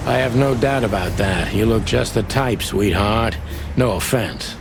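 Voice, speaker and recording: An actor playing a weary, wisecracking rooster detective in a noir game, with a low gravelly voice and a dry, sardonic, laconic delivery. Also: male voice, a little slow, background noise. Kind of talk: film-noir game voice acting.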